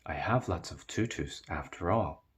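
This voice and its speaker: A man narrating a children's storybook as a read-aloud, with expressive delivery. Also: deep voice, moderate pace, slightly rough.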